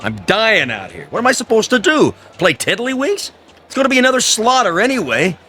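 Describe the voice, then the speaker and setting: An actor playing a jaded, sardonic American baseball announcer with showy patter in a radio drama. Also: male voice, high-pitched, big pitch swings, fairly quick, sharp tone, soft background music, full character voice. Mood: sour, sardonic. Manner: showy on air, sardonic grumbling off it. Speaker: sports announcer. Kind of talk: American radio drama acting, broadcast commentary.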